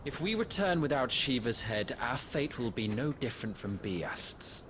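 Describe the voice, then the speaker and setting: A man's voice: medium pitch, very animated, normal speed, background noise, lightly acted. An actor playing a commanding male army sergeant in a video game. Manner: curt and commanding. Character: hard-nosed male imperial army sergeant. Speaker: imperial sergeant. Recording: game music under the voice.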